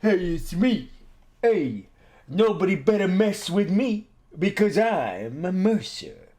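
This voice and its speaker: A man's voice: high voice, very animated, mellow, full character voice. A man voicing a big muscular dog as a laid-back mafia-style tough guy in an improvised story. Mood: swaggering. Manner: slow, casual mafia-style swagger. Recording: voice under prominent music.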